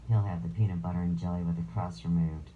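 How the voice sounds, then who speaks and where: A woman with a low voice hosting a live stream, reading viewer comments aloud with sarcastic, crude jabs. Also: steady tone, quick pace, narrow, tinny audio, a little hiss or hum.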